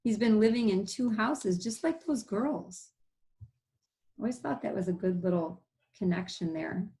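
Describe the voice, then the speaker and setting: A woman teacher reading a picture book aloud, pausing to explain things simply to young listeners. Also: medium pitch, expressive, dark tone.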